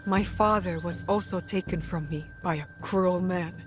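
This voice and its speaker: A woman playing a compassionate warrior and guiding big sister in a video game, calm and reassuring. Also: on the low side, moderate pace, over music, lightly acted. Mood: solemn, reassuring. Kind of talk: video game cutscene acting.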